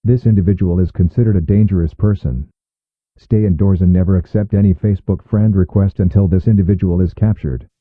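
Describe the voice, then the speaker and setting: A man's voice: sits low, fairly even intonation, brisk, no room sound. A man reading a scripted emergency alert in a formal, stern manner, as an announcer. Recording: muffled phone-like audio with music behind.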